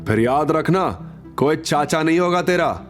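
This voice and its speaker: A man voicing a stern, gruff uncle and boss who scolds and threatens in an audio story. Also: a little high, lively intonation, measured pace, faint music behind, voice pushed up. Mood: stern warning. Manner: barking, interrogating, threatening.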